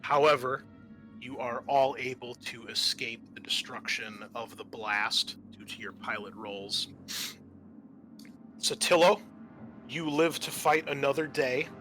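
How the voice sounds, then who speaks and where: A man's voice: mid-range voice, measured pace, pushed and bright, soft background music. A man hosting as game master, narrating a tabletop roleplaying game in a casual, often profane manner.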